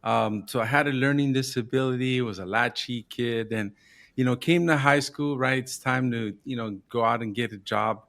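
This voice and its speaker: A man's voice: medium pitch, normal speed, creaky, a bit bright. A man from Southern California, a marketing business founder, talking informally as a podcast guest, often adding "right?" and "man". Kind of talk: casual interview chat.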